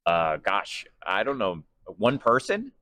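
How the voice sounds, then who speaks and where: Man, deep low pitch, casual interviewee, conversational, hesitant, rambling delivery. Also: huge pitch swings, slow pace, pushed and bright, no room sound. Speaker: sports PA announcer and voice actor being interviewed.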